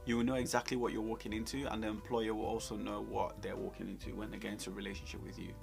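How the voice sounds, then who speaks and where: A man's voice: lowish voice, flat delivery, rapid-fire, gravelly, over music. A man co-hosting a career advice talk, coaching conversationally and emphatically, repeating points to drive them home. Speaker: co-host giving job interview advice.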